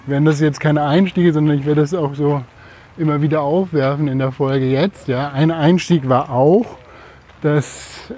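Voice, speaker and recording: Mellow man, halting speech. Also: a little high, fairly even intonation. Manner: halting, searching for words. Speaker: podcast co-host. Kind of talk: casual conversation.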